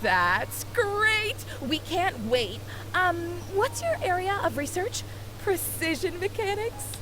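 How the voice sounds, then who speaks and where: High, chirpy student girl. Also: sing-song, slow, fairly bright tone, a little hiss or hum, heavily acted. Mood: eager. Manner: chirpy, blunt. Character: eager, tactless young female student. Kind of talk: anime game voice acting.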